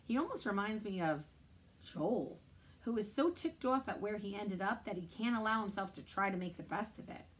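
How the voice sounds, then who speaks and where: A woman in her thirties, a children's librarian, reading a chapter book aloud in a steady, measured way. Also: medium pitch, husky. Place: indoor library room.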